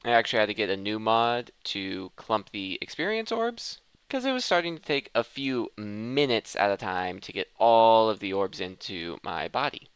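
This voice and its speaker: A man's voice: lowish voice, lively intonation, normal speed, hardly any pauses, clear, resonant tone, dry recording. A man giving casual gameplay commentary, rambling and thinking aloud as he plays. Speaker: gaming video host.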